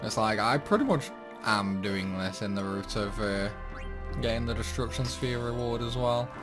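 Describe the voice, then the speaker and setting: Casting: young male gaming host, relaxed chatty commentary, faint game music underneath. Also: on the low side, moderate pace. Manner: chatty, self-deprecating, exclaims at mistakes. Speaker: gameplay channel host.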